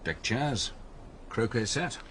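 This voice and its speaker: An actor playing a reserved, cooperative doctor in a radio drama, speaking in a measured, understated way. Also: male voice, medium pitch, lively intonation, a bit bright, a little hiss or hum. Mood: doubtful, musing. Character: reserved, cooperative village doctor, mildly doubtful.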